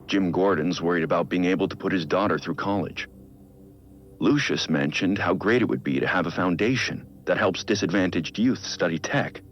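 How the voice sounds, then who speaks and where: A man playing a brooding, weary middle-aged billionaire vigilante, recording a reflective video game audio log in a deliberate, understated, gravelly voice. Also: sits low, close to an everyday voice. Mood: weary, self-reflective. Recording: dull lo-fi audio log, music behind. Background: music.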